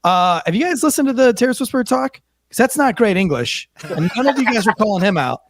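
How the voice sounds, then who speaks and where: A man's voice: high voice, very animated, fast talker. A man from North Carolina with a North Carolina accent, co-hosting a podcast in joking, teasing casual banter. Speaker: podcast co-host.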